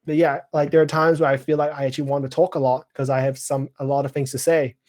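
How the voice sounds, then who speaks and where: A man in his twenties chatting casually on a live stream, rambling with many fillers and clearing his throat now and then. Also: fairly high pitch, little pitch movement, fast talker, a little mellow. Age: 20s. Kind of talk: casual live chat reading viewer comments.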